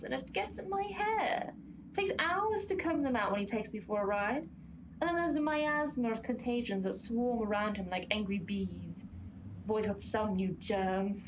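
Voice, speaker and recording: A woman's voice: a little high, normal speed, noisy background, natural voice. A woman playing a pompous, long-suffering horse of Pestilence in a comic radio play, lecturing and exasperated. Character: haughty, long-suffering female horse of Pestilence, bossy explainer.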